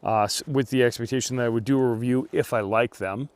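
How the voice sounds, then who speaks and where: A man giving a casual outdoor gear review, speaking in a halting way as he thinks aloud. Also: medium pitch, fairly even intonation, dry recording.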